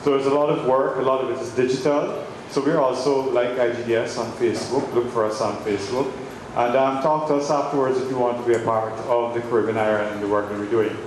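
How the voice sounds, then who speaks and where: A man with a medium-pitched voice gives a public speech, pausing often on ums. He is recorded in a strongly reverberant hall, with clear noise behind him. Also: steady tone. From Guyana. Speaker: board member giving thanks. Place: large echoey room at a public event.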